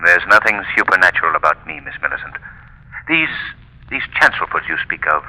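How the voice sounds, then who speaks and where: An actor playing a mysterious invisible crime fighter, speaking with measured reassurance through a telephone-like filter in 1930s radio mystery style. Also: male voice, deep voice, very animated, sharp tone, exaggerated voice. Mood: probing. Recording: echoing 1930s radio recording.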